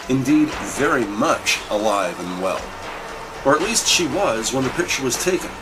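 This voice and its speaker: A man voicing the narrator of a crime audio drama, describing scenes in a measured, wry, scripted way. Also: a little high, rough recording.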